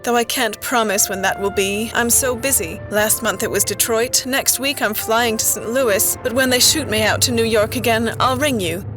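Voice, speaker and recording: A woman playing a brisk, self-denying career woman in a radio drama, breezy and matter-of-fact. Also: on the high side, over music, natural voice, rough recording. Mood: politely dismissive.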